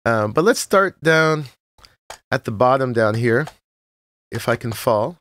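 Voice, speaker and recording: A man with a slightly froggy morning voice, narrating a relaxed, rambling tour of his game world with many "uh"s and short laughs. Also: mid-range voice, a little slow, no echo. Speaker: Minecraft let's-play host. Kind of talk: casual gameplay tour narration.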